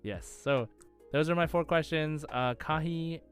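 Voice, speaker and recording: A man co-hosting a casual gaming quiz podcast, chatting in a halting way and repeating words as he thinks aloud. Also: fairly high pitch, faint music behind. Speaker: podcast co-host and quiz contestant.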